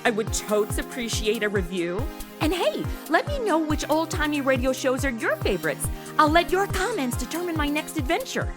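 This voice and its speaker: A woman's voice: high-pitched, sing-song, moderate pace, music in the background. An actress playing a snarky, irreverent young modern woman in a comedy audio drama, with casual slangy delivery. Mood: chirpy.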